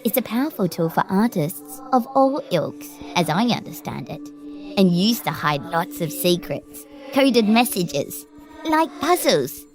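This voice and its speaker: An actress playing a playful, mysterious young woman with a teasing, slightly formal way of talking, in an audio drama with a soft music score underneath. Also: medium pitch, big pitch swings, unhurried. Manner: teasing, sly, lightly formal.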